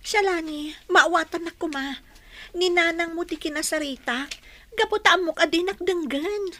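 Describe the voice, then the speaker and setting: A woman's voice: extremely high pitch, normal speed, pushed and bright. An actress playing an earnest, hurt young girl in a radio drama, pleading and emotional as a worried friend.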